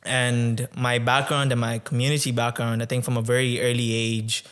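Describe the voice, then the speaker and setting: A man in his twenties from Tanzania, a podcast guest telling his story in a reflective, conversational way, often pausing with um and uh. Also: medium pitch, little pitch movement, fairly bright tone, smooth. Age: 20s. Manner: reflective, with many um and uh pauses.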